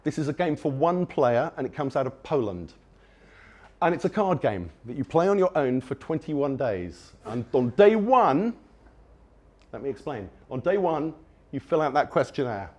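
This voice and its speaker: A man's voice: a little high, big pitch swings, normal speed, darkish tone. A man giving a conference talk to an audience, enthusiastic and wry, rambling with frequent restarts. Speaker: conference speaker. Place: conference venue before an audience.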